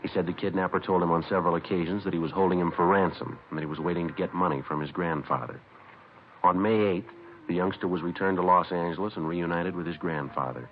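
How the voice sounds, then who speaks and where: An actor playing a businesslike police sergeant, narrating a 1950s radio crime drama in a clipped, understated, matter-of-fact way. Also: male voice, deep voice, fast, slight background noise, lightly acted. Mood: flat, matter-of-fact. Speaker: detective narrator. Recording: dull vintage radio recording.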